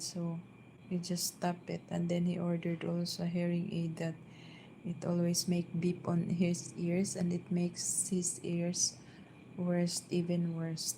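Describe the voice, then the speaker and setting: Soft-spoken filipina lady chatting on a live stream at home, slow and flat, lots of ums, faint hum behind. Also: lowish voice, poor audio. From Philippines. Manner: hesitant, many fillers and restarts. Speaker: vlogger. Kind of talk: casual live-stream chat. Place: downstairs at home.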